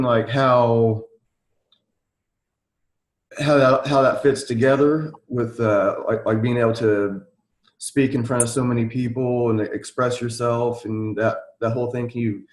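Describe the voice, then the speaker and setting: A man hosting a podcast interview, asking questions in a halting, casual way with lots of fillers. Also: mid-range voice, fairly even intonation, echoey room. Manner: halting, many fillers and restarts. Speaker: podcast host.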